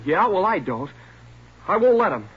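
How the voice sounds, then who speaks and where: A surly young punk in old radio cop show, highish voice jumping all over, muffled. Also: male voice, normal speed, slightly rough, exaggerated voice. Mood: panicked, defiant. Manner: surly, tough-kid back talk, excitable. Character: defiant, cornered twenty-year-old male car thief. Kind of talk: 1940s radio crime drama acting. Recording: dull, noisy old radio recording.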